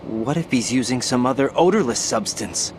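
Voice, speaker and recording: A mid-pitched young man's voice from a game actor, heard over clear noise. He plays a rookie soldier, earnest and hesitant, often questioning and unsure of himself. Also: expressive, normal speed, natural voice. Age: young adult. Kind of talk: video game voice acting.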